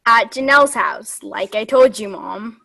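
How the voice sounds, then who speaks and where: A woman's voice: medium pitch, a little slow, gravelly, pushed and bright, heavily acted. A woman in a staged play reading, playing an offhand, defensive teenage daughter.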